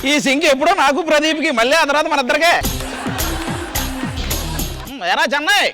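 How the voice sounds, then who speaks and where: Very high-pitched, quick Telugu skit performer. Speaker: dance show performer in a skit. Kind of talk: comic stage skit banter. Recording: loud music behind.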